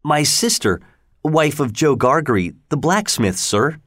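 A man's voice: a little high, very animated, a little slow, fairly bright tone, no room sound, studio quality, voice pushed up, full character voice. A man voicing a frightened little boy who begs timidly, in a storybook reading. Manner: pleading, timid.

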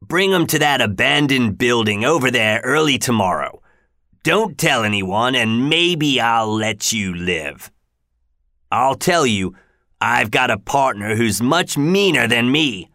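A man's voice: medium pitch, animated, takes it slowly, sharp tone, no echo, clean studio sound, heavily acted. A man doing a growling, menacing convict.

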